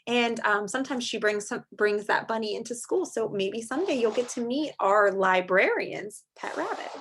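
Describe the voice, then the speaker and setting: A woman teacher leading a storybook read-aloud for young children, explaining words simply and asking questions in an animated, expressive way. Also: a little high, normal speed, a little hiss or hum. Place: online video session with screen sharing.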